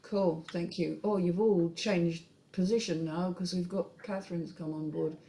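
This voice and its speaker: A woman's voice: fairly low pitch, fairly even intonation, unhurried, creaky, dark tone, poor audio. A woman hosting a casual script-reading panel discussion, speaking frankly with the odd casual swear word.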